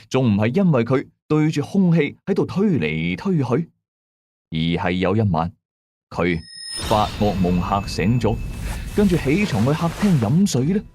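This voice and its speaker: A man narrates a ghost story in colloquial Hong Kong Cantonese. His pitch moves in lively swings as he builds suspense. Faint music plays behind him. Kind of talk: dramatic first-person horror storytelling in colloquial speech.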